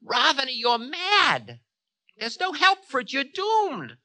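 A man's voice: extremely high pitch, huge pitch swings, slow pace, pushed and bright, voice pushed up, exaggerated voice. A man voicing Time as a cruel, indignant spirit of doom in a dramatic telling of a myth. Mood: ominous, threatening. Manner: indignant, ominous pronouncements. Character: Time personified, cruel, prim, menacing male spirit of doom. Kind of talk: dramatic mythological storytelling.

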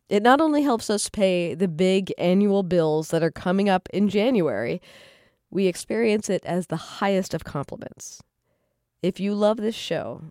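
A woman's voice: on the low side, mellow, no room sound, studio quality. A woman hosting a podcast, speaking scripted remarks straight to her listeners. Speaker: podcast host.

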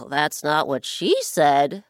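A woman's voice: low voice, slow pace, dry recording, clean studio sound, pitched below the performer's own voice. A woman voicing a scheming, mischievous male blackbird in a children's story, talking in a sly, conspiratorial way.

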